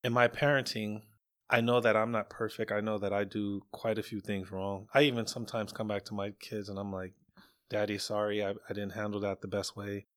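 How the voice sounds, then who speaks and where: Male guest, middle-aged, lowish pitch, measured conversational answers with repeated words. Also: level tone. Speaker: guest, parent. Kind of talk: casual conversational interview answers.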